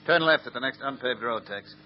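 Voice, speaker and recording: An actor playing a knowledgeable police lieutenant giving directions in a 1940s radio drama. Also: male voice, mid-range voice, lightly acted. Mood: instructive. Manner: brisk, instructive. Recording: dull old radio recording, faint hiss.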